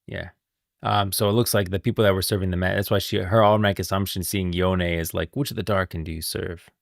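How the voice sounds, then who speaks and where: A man doing casual live commentary on game lore as a streamer, halting and repeating himself as he thinks aloud. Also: deep voice, steady tone, gravelly, no echo, clean studio sound.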